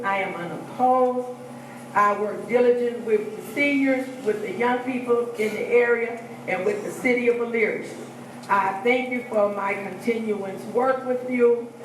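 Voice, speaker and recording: A councilwoman offers brief, repeated thanks to her constituents. Her voice comes from a distance in a reverberant hall with clear background noise. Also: on the high side. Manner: warm, repetitive thanks. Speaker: city councilwoman. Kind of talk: brief public thank-you speech. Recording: echoey hall from far off, noisy.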